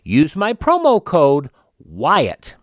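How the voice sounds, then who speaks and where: Lively, dry-sounding American male radio host. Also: fairly high pitch, drawn-out words, no room sound. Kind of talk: announcing and host-read ad.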